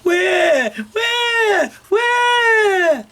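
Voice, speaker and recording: A woman voicing an inconsolable storybook baby girl with loud, drawn-out wailing cries. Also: very high voice, lively intonation, a little hiss or hum, pitched above the performer's own voice, full character voice.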